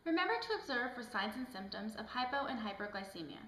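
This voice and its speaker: A woman's voice: fairly high pitch, lively intonation, fast, bright, forceful tone. A woman playing a polite, curious woman who presents and asks questions in a scripted health education skit. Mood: presenting. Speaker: presenter and patient in a health education puppet show. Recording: roomy echo with faint background noise.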